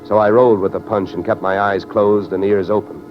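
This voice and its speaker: Deep-voiced wisecracking radio detective, played by an actor. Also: male voice, flat delivery, a little fast, lightly acted. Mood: wry narration. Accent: American. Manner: wry, clipped, sardonic. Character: wisecracking hard-boiled male insurance investigator. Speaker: lead actor and narrator. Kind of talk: 1940s-50s radio detective acting. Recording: dull old radio recording with music.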